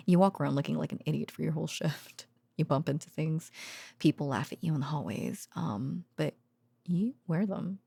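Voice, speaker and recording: Woman acting a breathy, creaky, soothing researcher girlfriend. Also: sits low, no room sound, full character voice. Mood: casual, murmured. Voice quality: soft, breathy, often dropping to a whisper. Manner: hesitant, halting, murmuring. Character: gentle female researcher girlfriend murmuring secret work stories at bedtime. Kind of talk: intimate ASMR audio roleplay, conversational bedtime monologue.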